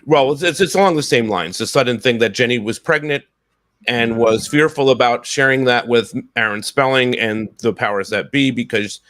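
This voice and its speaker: A man's voice: medium pitch, moderate pace, a bit bright, dry recording. A man in his thirties hosting a podcast, casually moderating and prompting with questions from home on a headset. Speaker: podcast host. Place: home room, video call. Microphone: headset, close.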